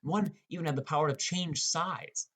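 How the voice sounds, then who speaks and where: A man's voice: fairly high pitch, normal speed, fairly bright tone. A man playing a wry, inexperienced teenage boy who narrates his own game adventure in an audiobook. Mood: narrating. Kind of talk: first-person audiobook narration with character dialogue.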